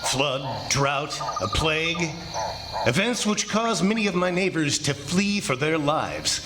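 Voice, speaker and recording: A man's voice: on the high side, very animated, takes it slowly, a crowd in the background. A man playing a proud, stubborn, aging plantation owner in 1940s-style radio drama, speaking with confident, declamatory assurance. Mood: defiant. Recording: live stage show with music.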